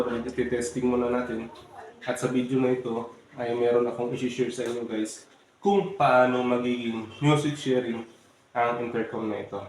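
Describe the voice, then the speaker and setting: A man with a Filipino accent giving a casual step-by-step product tutorial, often addressing his viewers. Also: mid-range voice. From Philippines. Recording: very echoey room, faint background noise.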